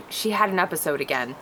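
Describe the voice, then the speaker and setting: A woman's voice: low-pitched, sharp tone, slight background noise, poor audio. A woman at a table read playing an anxious, apologetic, deferential care home manager. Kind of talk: screenplay table read acting.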